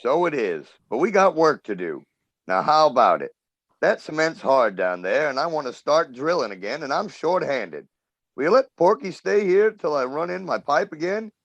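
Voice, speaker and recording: A man's voice: fairly high pitch, big pitch swings, normal speed, dry recording. A man playing a brusque, impatient oil crew boss in an old-time radio drama, bossy and blunt in colloquial talk. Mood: reasoning, insistent.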